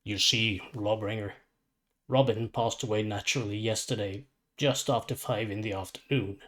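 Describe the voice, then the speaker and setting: Storybook psychopomp villain played by a man: ancient, weary, courteous, measured and formal, unbothered. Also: lowish voice, steady tone, fairly bright tone, close to an everyday voice. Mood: quietly matter-of-fact. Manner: measured, formal, matter-of-fact. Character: calm, weary, ancient psychopomp supervillain, death's courteous guide.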